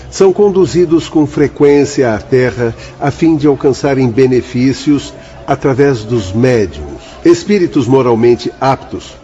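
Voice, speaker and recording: An actor in a Brazilian radio drama playing an earnest, morally firm spirit doctor who explains things in measured, formal speech with a Brazilian accent. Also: male voice, medium pitch, dark tone, natural voice. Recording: dull lo-fi audio, faint music.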